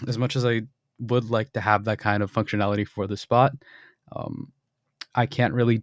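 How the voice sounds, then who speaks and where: A young man with a fairly low voice walks through a screen-recorded software demo in a casual, explanatory way. He fills pauses with ums and keeps clearing his throat. The sound is dry and close, with no room behind him. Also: no echo. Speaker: software developer demoing his bot.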